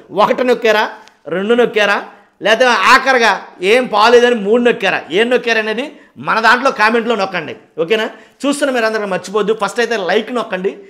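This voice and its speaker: A man's voice: sits high, fairly bright tone. A man giving casual political commentary to his viewers, explaining conversationally, repeating phrases for emphasis and posing rhetorical questions. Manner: asks rhetorical questions, acting out others' reactions. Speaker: host of a political commentary channel.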